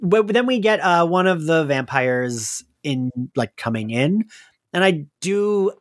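A man co-hosting a podcast, chatting casually and excitedly through a recap with plenty of filler words. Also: a little high, unhurried. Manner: animated, giggly, frequent filler words. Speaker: podcast co-host. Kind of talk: casual chat recapping an audio drama.

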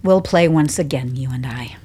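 A man's voice: medium pitch, normal speed, darkish tone, slightly rough, voice pushed up. A man playing a vengeful, grandiose adversary in an audio drama, speaking in a solemn, portentous way. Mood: solemn, defiant.

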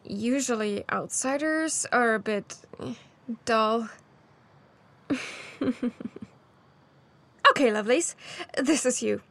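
A woman's voice: on the high side, slow, vocal fry, long pauses, a bit bright, full character voice. A woman playing a playful, flirtatious mysterious stranger with a breezy, teasing manner in a fantasy audio drama. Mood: teasing, affectionate. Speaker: guest voice actor.

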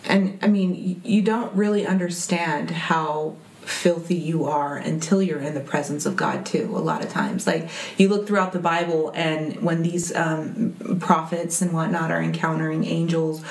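A woman's voice: low voice, fairly even intonation, moderate pace, few pauses, a little mellow. A woman hosting a faith interview, affirming her guest and reflecting on scripture. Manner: affirming, reflective, says "right" often. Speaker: host. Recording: roomy echo.

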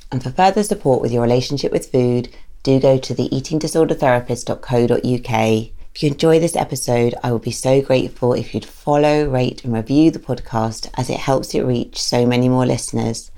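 A woman with a very deep, gravelly voice that sounds croaky today. She talks gently and reassuringly, with plenty of fillers, as she hosts her own podcast. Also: brisk. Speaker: podcast host, eating disorder therapist. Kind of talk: solo podcast talk.

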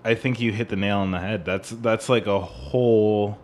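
A man co-hosting a music podcast, talking casually and analytically in a halting, rambling way with some swearing. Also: on the low side, fairly even intonation, a little mellow.

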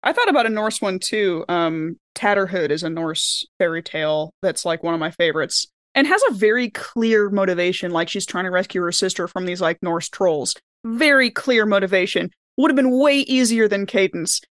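A woman's voice: medium pitch, moderate pace, few pauses, a bit bright, no echo. A woman with a mid-range voice talks on a podcast panel in an informal, giggly way. The sound is dry, with no room to it, and the delivery is casual conversation. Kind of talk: casual chat.

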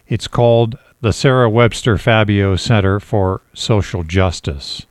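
A man's voice: lowish voice, unhurried, no echo. A man hosting an interview, asking questions in a conversational style. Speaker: interview host.

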